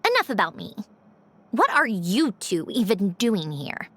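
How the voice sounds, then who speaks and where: A woman's voice: medium pitch, wildly swooping pitch, measured pace, fairly bright tone, breathy edge, no echo, heavily acted. A woman playing a proud, sardonic young villainess in a video game, with a dry, condescending, theatrical delivery. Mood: dismissive, sly. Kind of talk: video game character dialogue.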